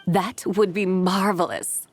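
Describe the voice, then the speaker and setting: A woman voicing a composed immortal horse spirit in a video game, formal and measured, with a sly deadpan manner. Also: lowish voice. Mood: slyly deadpan. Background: background music.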